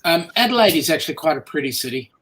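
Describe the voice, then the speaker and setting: A casual live-stream co-host from down under who reads viewer comments aloud. The talk is unhurried and halting, full of "um" fillers, in a voice of middling pitch. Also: very animated, fairly bright tone. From Australia or New Zealand.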